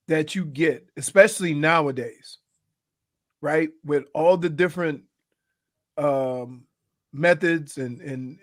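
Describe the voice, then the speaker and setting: A man co-hosting sports radio, a former football player telling animated stories. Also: fairly high pitch. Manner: storytelling, animated punchlines. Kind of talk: casual sports talk. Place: radio studio. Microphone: studio mic.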